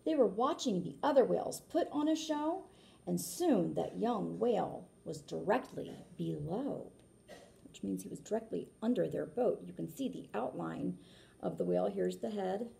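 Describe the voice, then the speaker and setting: A woman reading a children's picture book aloud like a teacher, animated, with explanatory asides and questions to her listeners. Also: fairly low pitch, measured pace, gravelly, a little hiss or hum.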